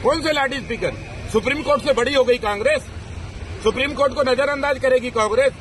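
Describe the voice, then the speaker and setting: A man's voice: very high-pitched, fast talker, a bit bright. A man giving a combative political soundbite outdoors, firing indignant rhetorical questions. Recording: noisy outdoor crowd and traffic.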